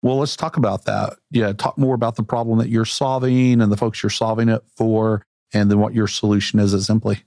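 A man's voice: lowish voice, little pitch movement, fairly quick, hardly any pauses, a little mellow, dry recording, studio quality. A man hosting a podcast interview, asking questions in an upbeat, conversational way. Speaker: podcast host.

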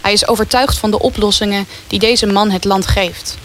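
A woman narrates a historical radio drama from a script. Her voice is medium in pitch, and there is faint noise behind it. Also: fairly even intonation, moderate pace, fairly bright tone.

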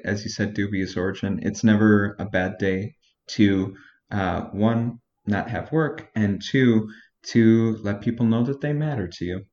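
A playful man talking as a podcast guest, his lowish voice coming through a dull, lo-fi mic. He jokes around in a relaxed, easygoing way. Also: measured pace. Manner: playful, laughs easily. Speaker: podcast guest, social media editor. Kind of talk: casual podcast chat.

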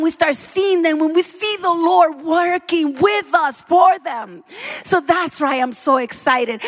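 Fervent high-voiced woman preaching. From Dominican Republic. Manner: fervent, rising to shouts. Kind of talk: sermon. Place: church sanctuary.

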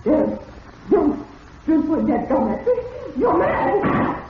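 Nervous radio drama wife, voice jumps all over, dull hissy old recording. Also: female voice, high voice, very animated, takes it slowly, exaggerated voice. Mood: terrified. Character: nervous wife, trusting then terrified. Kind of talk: 1940s radio drama acting.

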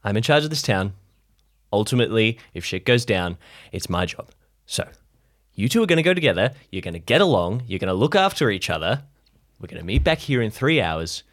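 Man playing an exasperated, bossy town leader, wildly animated. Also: lowish voice, a little fast. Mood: firm, weary. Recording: music under the voice.

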